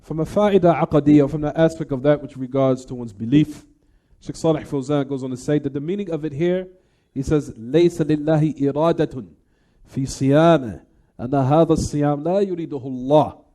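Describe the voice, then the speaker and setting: A man's voice: fairly high pitch, fast talker, mellow. A man giving a religious lecture in a didactic manner, repeating phrases and putting questions to his listeners as he explains texts.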